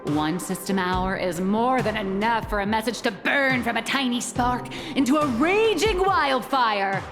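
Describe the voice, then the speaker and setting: A confident, scheming female news editor in a game. Her voice is fairly high, bossy and theatrical. It carries long reverb, with music underneath. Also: animated, measured pace. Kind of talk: video game character acting.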